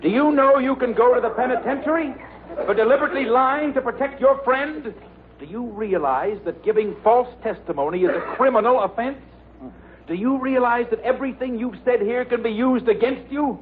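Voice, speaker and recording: An actor playing a theatrical trial attorney in an old radio sitcom, speaking in a pompous, deliberate, pressing way. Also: male voice, extremely high pitch. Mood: stern, accusing. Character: stern, theatrical male trial attorney cross-examining witnesses. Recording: tinny noisy old radio, studio audience.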